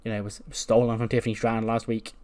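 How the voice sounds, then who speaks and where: A female wrestler with little patience, voiced by a man recounting a story. She is brisk and blunt, firing off questions in an exasperated way. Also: fairly low pitch, little pitch movement, dark tone, natural voice. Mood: polite, inquiring.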